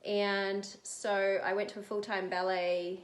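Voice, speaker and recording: A woman's voice: mid-range voice, little pitch movement, unhurried. A woman with a New Zealand accent, chatting casually as an interview guest in a roomy recording. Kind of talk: casual chat.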